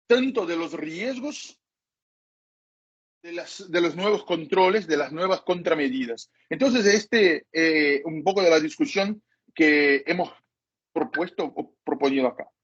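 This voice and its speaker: Husky man lecturing in halting Spanish. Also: on the high side, fairly bright tone. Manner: hesitant, apologizes for his Spanish. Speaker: cybersecurity lecturer. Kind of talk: masterclass lecture. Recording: dull lo-fi recording.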